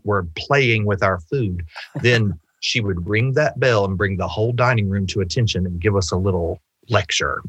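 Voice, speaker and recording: A middle-aged man from the southern United States on a video call from his home office, telling anecdotes with expressive, deliberate emphasis. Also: lowish voice, no room sound. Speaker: storyteller, interview guest. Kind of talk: anecdotal storytelling in conversation. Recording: video call with music behind.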